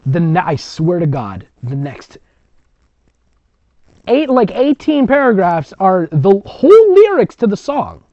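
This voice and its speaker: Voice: mid-range voice, wildly swooping pitch, a little slow, dark tone, dry recording. A sarcastic, exasperated reader narrating amateur fiction and breaking off to mock it with commentary. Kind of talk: mocking story reading with ad-libbed commentary. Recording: dull, lo-fi close recording.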